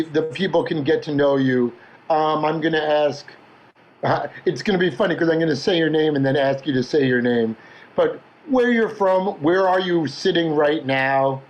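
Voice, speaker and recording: A man moderating an online panel discussion, introducing the event and guests with measured, emphatic phrasing. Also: a little high, low-quality recording. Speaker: discussion host.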